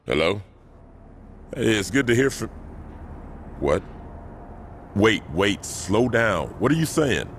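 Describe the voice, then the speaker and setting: An actor playing a commanding, aging gang boss who talks in a slow, deliberate, menacing way in a video game cutscene. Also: male voice, lowish voice, sing-song, a little hiss or hum, exaggerated voice. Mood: placating.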